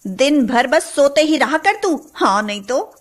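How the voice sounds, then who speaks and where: A woman voicing a cruel, domineering mother-in-law in a cartoon story, nagging and sarcastic with drawn-out calls. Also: high voice, expressive. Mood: scolding. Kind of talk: cartoon moral-story voice acting.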